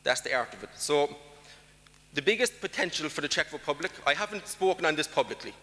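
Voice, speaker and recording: An Irish man speaks from the stage of a large conference hall, his bright, forceful voice trailing a long reverb. He drives his points home with emphasis and keeps putting questions to the room. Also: fairly high pitch, expressive, fairly quick. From Ireland. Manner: emphatic, rhetorical questions to the audience. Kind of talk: conference talk.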